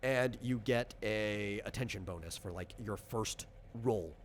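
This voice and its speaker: A man's voice: fairly low pitch, a little slow, a little hiss or hum. A man casually explaining tabletop game rules as designer and game master, talking informally with examples.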